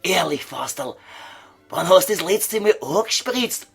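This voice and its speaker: Tempter devil, high voice, very slow drawl, Viennese dialect, coaxing, vulgar, comedy sketch. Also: male voice, animated, gravelly, a bit bright, husky, heavily acted. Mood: coaxing, mocking.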